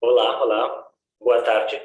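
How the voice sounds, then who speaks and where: A man joining by video call, speaking haltingly and searching for words. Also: medium pitch, flat delivery, dull audio. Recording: echoey video call audio.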